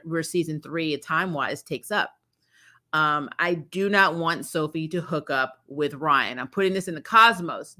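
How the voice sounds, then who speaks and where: Female reviewer, lowish forceful voice, casual and emphatic, unfiltered opinions with the odd curse. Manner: blunt, mildly sweary. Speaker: host of a fan review channel. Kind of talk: casual opinionated trailer commentary.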